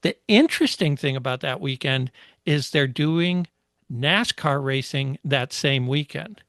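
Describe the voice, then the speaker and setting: A man co-hosting a podcast, chatting casually about motor racing, explaining history at a measured pace with many fillers. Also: medium pitch, animated, fairly bright tone, no room sound, studio quality. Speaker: podcast co-host.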